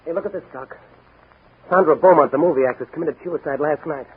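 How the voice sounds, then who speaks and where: A man's voice: fairly high pitch, fast, slightly rough, phone-quality sound. An actor playing a brash, impatient young patrolman in 1940s radio drama style, on an old recording. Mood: grave, determined. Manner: eager, impatient, punchy delivery. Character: brash young rookie patrolman, secret masked crime fighter, eager for action.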